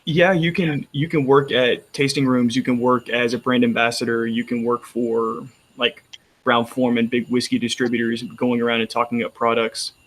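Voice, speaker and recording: A man's voice: medium pitch, level tone, moderate pace, fairly bright tone. Texan man chatting casually. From Texas. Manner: rambling, frequent restarts. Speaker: roundtable panelist. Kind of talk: casual chat.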